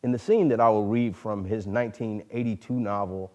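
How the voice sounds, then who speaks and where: A man giving a formal literary reading, speaking in deliberate, oratorical phrases with emphasis on each one. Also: lowish voice, steady tone, darkish tone. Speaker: dramatic reader. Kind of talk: formal literary introduction and narration.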